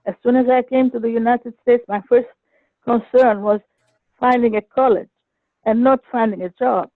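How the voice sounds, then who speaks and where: A woman's voice: on the high side, steady tone, normal speed, no echo. Older woman on a dry tinny phone line. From Egypt. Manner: earnest, hesitant with restarts. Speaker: caller. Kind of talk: phone-in sharing a personal story. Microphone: telephone.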